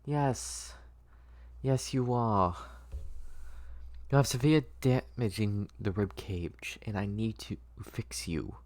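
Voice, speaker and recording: A man's voice: fairly low pitch, takes it slowly, darkish tone, no echo. A man playing a controlling, obsessive old male doctor who coaxes and soothes in a patronizing way, in a dramatic script reading. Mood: coaxing, controlled.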